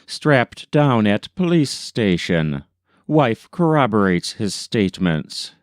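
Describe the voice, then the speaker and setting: Slow-paced man reading a news story. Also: fairly low pitch, sing-song, dry recording, studio quality. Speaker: volunteer reader. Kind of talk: scripted narration of an old newspaper story.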